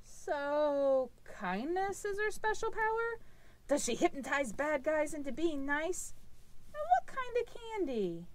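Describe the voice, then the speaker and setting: Woman voicing an excitable high-pitched nosy puppet cat. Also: slow pace, heavily acted. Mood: curious. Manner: inquisitive, interrupting, cheeky. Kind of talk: children's puppet show dialogue.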